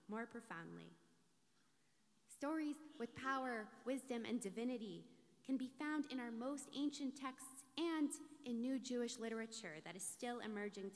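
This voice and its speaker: Woman preaching in a reverberant hall. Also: fairly high pitch. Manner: measured, deliberate phrasing with rhetorical repetition. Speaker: rabbi giving a sermon. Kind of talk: scripted sermon, read from a lectern. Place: large synagogue sanctuary, indoors.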